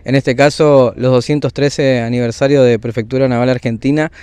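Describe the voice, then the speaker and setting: A man's voice: medium pitch, fairly even intonation, brisk, a little mellow, smooth, no room sound. A man working as a street interviewer who asks short prompting questions.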